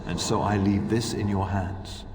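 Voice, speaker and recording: An actor playing the gentle, wise ghost of a father in a video game, speaking tenderly and consolingly. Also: deep voice, steady tone, unhurried. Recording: echoey hall, clear background noise.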